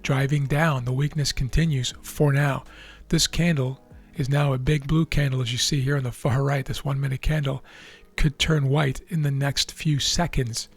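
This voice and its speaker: A man with a raspy, tired voice, hosting a trading video and explaining live charts in a measured way with frequent fillers and restarts. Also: mid-range voice, level tone. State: losing his voice.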